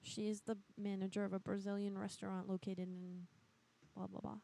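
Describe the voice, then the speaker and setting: A woman's voice: mid-range voice, level tone, fairly quick, vocal fry, dark tone. A woman co-hosting a live prank call show in casual banter, blunt and crude.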